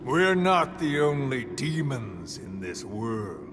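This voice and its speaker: An actor playing a menacing, vengeful villain commander in a video game, speaking in portentous, theatrical monologues. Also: male voice, mid-range voice, big pitch swings, slow pace, background noise. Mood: grandiose, brooding.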